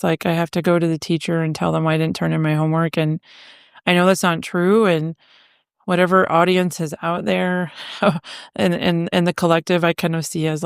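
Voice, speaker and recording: A middle-aged woman chats alone into her podcast mic, self-deprecating and quick to laugh. Her voice has a gravelly fry under a smooth, resonant tone. The sound is close and dry. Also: fairly low pitch, dry recording. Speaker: podcast host. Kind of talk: casual solo chat. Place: home living room.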